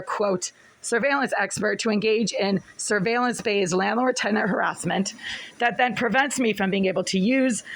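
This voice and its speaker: A woman with a raspy voice speaking in a rambling, insistent, accusatory monologue to a phone in her apartment bathroom. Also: mid-range voice, a bit bright, rough recording. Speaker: vlogger.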